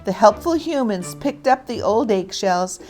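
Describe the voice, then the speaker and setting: A woman's voice: mid-range voice, expressive, a little slow, a little mellow. Woman reading a children's story over music. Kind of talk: scripted children's story narration.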